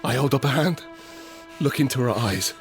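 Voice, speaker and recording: A man playing a frightened, desperate father in an apocalyptic audio drama, speaking with halting, intense urgency. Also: medium pitch, animated, faint music behind, close to an everyday voice. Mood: tense, fearful. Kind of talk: first-person scripted audio drama narration with acted dialogue.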